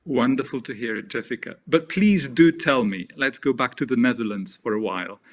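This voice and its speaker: A man's voice: medium pitch, brisk. A man moderating a panel discussion, asking polite, probing follow-up questions.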